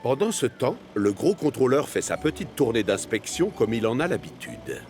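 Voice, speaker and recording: A male storyteller for a children's cartoon, with a medium-pitched voice and very lively intonation. He narrates expressively, as if from a storybook, over clearly audible music. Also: moderate pace. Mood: storytelling.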